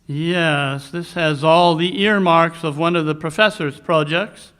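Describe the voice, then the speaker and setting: A man playing a cool, commanding detective in a live 1940s-style radio mystery, speaking formally and deliberately with dry superiority. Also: on the high side, steady tone, fairly bright tone, smooth.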